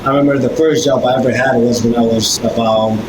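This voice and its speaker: A man's voice: medium pitch, steady tone, quick pace. A man in his twenties from Peru, a podcast guest telling his story casually with many fillers and restarts. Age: 20s. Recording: echoey room with loud background noise.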